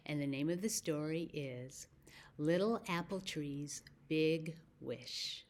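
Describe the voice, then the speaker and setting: A woman with an American accent hosting a children's story time, speaking deliberately as if to young listeners. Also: deep voice, darkish tone. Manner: slow, deliberate phrasing with questions to young listeners. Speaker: library story time host.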